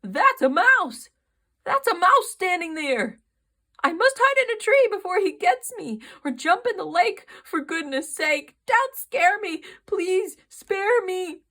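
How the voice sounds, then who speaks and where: A woman's voice: sits high, expressive, slow pace, heavily acted. A woman voicing a big, timid male elephant in a storybook reading, fretful and apologetic. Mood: panicked. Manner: fretful, apologetic, then panicky.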